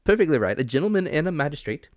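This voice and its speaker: A man voicing a hearty, blustering revenue officer, brisk and emphatic, in a dramatic audiobook reading. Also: a little high, sing-song, no echo, studio quality, pitched above the performer's own voice.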